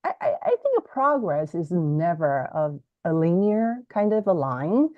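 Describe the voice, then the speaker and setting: A woman's voice: lowish voice, a little slow, no echo. A woman whose first language is Chinese, a guest language educator explaining ideas in a teacherly way on a video call, often restarting phrases. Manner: animated, self-correcting, teacherly. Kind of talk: explanatory interview talk. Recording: dry, slightly dull lo-fi audio.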